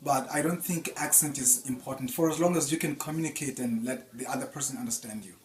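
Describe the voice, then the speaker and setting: A man giving a calm, deliberate answer as an interviewee. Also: mid-range voice, reverberant.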